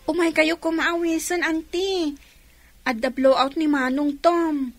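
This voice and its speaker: A young filipino ilocano daughter, really high voice, polite n questioning, radio drama, dry sound. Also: female voice, fairly even intonation, a little slow, no room sound, soft background music. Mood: polite, inviting.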